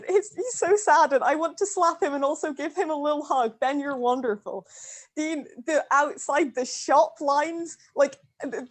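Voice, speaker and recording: A woman with a high voice gives feedback in casual chat. She gushes and leans hard on her words of emphasis. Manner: gushing, emphatic. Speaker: cast member giving feedback.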